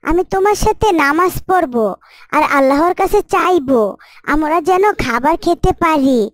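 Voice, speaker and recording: A woman's voice: very high voice, moderate pace, no room sound, studio quality. A female performer playing an innocent, anxious, devout little girl in an Islamic story cartoon, childlike and earnest.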